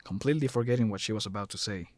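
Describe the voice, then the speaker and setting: Low-pitched man narrating a story. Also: rapid-fire, no room sound.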